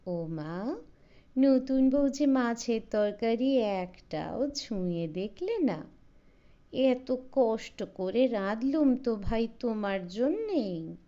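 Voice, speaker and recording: A woman voicing a talkative, sharp-tongued old great-aunt in a story, teasing and nosy with exclamatory, needling chatter. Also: fairly high pitch, animated, slow, dull audio. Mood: coaxing reproach. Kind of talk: storybook character voice.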